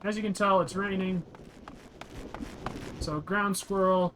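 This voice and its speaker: A man's voice: high-pitched, steady tone, slow, background noise. A man giving casual, offhand let's-play commentary as he describes a game, thinking aloud and swearing freely. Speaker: game streamer describing play for blind viewers.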